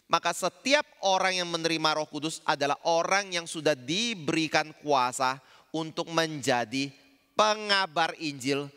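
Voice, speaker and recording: A man with a Javanese accent preaches a sermon in a conversational way, often asking the congregation questions. His voice is bright and smooth. The sound carries a long reverb from a large church hall. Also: fairly high pitch. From Indonesia. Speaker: pastor.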